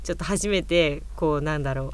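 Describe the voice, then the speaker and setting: A woman co-hosting a podcast, chatting casually with friends in a gushing, expressive fan style. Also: low voice, quick pace, crowd noise. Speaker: podcast co-host. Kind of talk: casual chat among friends.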